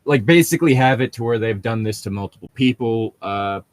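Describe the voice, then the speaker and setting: A man's voice: mid-range voice, expressive, a little fast, no room sound. A man in casual group chat, rambling and sardonic, with frequent swearing.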